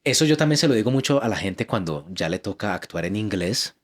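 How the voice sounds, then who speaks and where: A man's voice: on the low side, animated, brisk. A man hosting a casual interview, who chimes in often with short words of agreement. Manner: supportive, frequent short agreements. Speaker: host.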